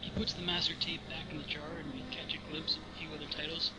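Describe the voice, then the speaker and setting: A man's voice: on the high side, flat delivery, fast talker. A man narrating stage directions in an amateur read-aloud among friends. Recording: very noisy home voice-chat recording.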